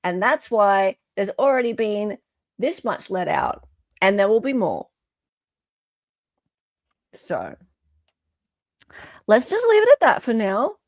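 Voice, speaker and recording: A woman's voice: mid-range voice, wildly swooping pitch, slow, long pauses, no room sound. Australian woman, emphatic livestream host, dry sound. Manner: emphatic, repeats phrases for effect. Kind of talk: casual live chat commentary.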